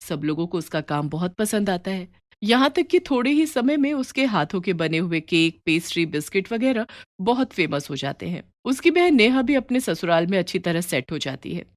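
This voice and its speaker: A woman's voice: fairly low pitch, sing-song, moderate pace, no echo, studio quality. A woman reading a scripted story as its narrator.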